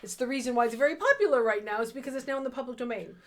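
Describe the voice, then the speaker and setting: A woman's voice: sits high, very fast, fairly bright tone. Emphatic woman in casual book discussion. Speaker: co-host of a story reading livestream.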